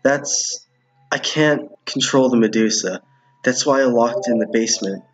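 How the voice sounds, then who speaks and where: Man reading as a husky, guilt-ridden teacher. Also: mid-range voice, unhurried, soft background music, natural voice, rough recording. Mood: sheepish. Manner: meek, hesitant, remorseful. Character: guilt-ridden, cowed male homeroom teacher.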